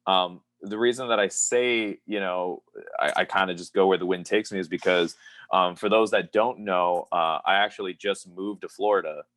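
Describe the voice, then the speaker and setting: A man's voice: low-pitched, steady tone, moderate pace, fairly bright tone, no echo. A man from Pennsylvania, a professional wrestler, talking as a guest in a casual interview and telling rambling stories in an easygoing way. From Pennsylvania, USA.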